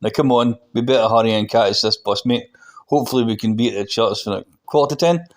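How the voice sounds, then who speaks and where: A man's voice: mid-range voice, fast talker, natural voice. Man voicing a matey, concerned pastor. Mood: encouraging. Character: concerned Glaswegian pastor, matey old friend, male.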